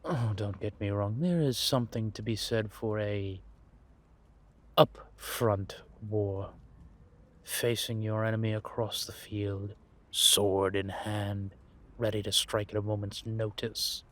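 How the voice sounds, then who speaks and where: A man playing a centuries-old vampire spymaster in a roleplay audio drama, patient and wry, speaking in measured phrases with deliberate pauses. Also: lowish voice, steady tone, fairly bright tone. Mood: reflective, instructive.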